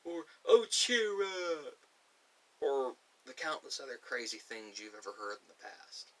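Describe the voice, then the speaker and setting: A man speaking casually and unscripted to viewers, giving his personal opinions in emphatic, halting, short phrases. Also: medium pitch, fairly bright tone. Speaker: video creator giving personal thoughts. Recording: distant mic with faint hiss.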